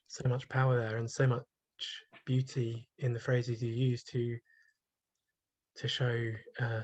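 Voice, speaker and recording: A man hosts a poetry showcase in an informal, courteous style. His voice sits at an average pitch, and he speaks somewhat hesitantly as he moves the event along. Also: darkish tone.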